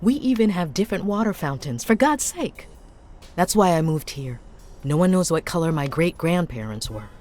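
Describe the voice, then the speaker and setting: A woman's voice: on the low side, expressive, normal speed, darkish tone, natural voice. An actress playing an anxious, polite woman in a 1950s noir game, speaking in an earnest, apologetic way. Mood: defensive. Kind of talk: dramatic game dialogue.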